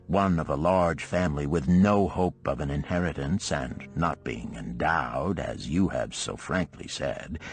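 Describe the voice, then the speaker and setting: A man's voice: very deep voice, heavily acted. A man voicing a wealthy, wise Babylonian man in a storybook, lecturing his friends in a grave, formal way. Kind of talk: storybook character reading.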